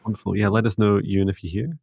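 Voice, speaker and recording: Gravelly low Scottish man. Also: flat delivery, dry recording, lo-fi sound. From Scotland. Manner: upbeat, chatty, slows down and softens when relaying messages. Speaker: psychic reader and co-host. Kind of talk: live-stream psychic reading chat.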